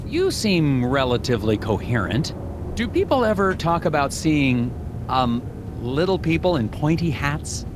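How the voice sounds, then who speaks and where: An earnest, higher-voiced puzzled agent. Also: male voice, sing-song, a little slow, noisy background. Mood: polite. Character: earnest, puzzled male FBI agent investigator. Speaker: FBI agent. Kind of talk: animated game voice acting.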